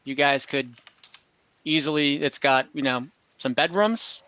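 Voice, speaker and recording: A man narrates a tabletop game as its game master in a bright, somewhat high voice. He speaks conversationally, stopping and rephrasing as he invents the scene. The sound is close and dry, slightly dulled like an online call. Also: no echo, lo-fi sound. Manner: conversational, halting, often rephrasing as he describes scenes.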